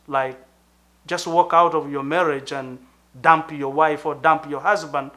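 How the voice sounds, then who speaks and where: A middle-aged man from Tanzania with a Tanzanian accent, a pastor giving an earnest, measured talk with thoughtful pauses. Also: high-pitched, pushed and bright. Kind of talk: sermon-like talk to camera.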